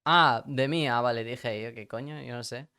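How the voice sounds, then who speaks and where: A man raised in Spain, speaking with an American accent, chatting casually on a livestream, rambling and animated and slipping between English and Spanish. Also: mid-range voice, brisk, smooth. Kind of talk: casual livestream chat, answering chat questions.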